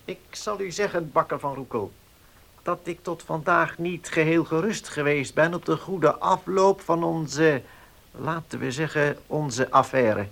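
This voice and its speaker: An actor playing a formal, cautious village notary in a radio play, speaking in a measured, officious manner. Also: male voice, on the high side, vocal fry, a bit bright. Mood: guarded satisfaction. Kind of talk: Dutch radio play acting.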